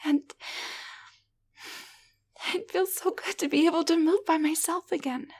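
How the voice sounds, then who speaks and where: A woman playing a gentle, grateful young waitress turned into a tiny living doll, speaking softly and hesitantly in an intimate roleplay audio drama. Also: extremely high pitch, fairly even intonation, a little slow. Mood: relieved, eager. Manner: soft, breathy, hesitant, tender delivery.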